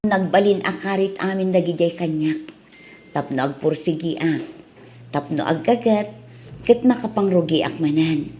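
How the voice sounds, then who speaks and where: A woman's voice: on the low side, normal speed, darkish tone, a little hiss or hum, lightly acted. A woman with an Ilocano accent acting a hurt but resolute, forgiving character in a radio drama. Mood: resolute, earnest.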